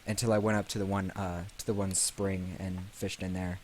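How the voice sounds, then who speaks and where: A man's voice: deep voice, level tone, normal speed, a little hiss or hum. A man doing casual, rambling, self-deprecating let's play commentary, often repeating his phrases. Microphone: desk microphone picking up the room. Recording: game music behind, desk mic. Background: game music.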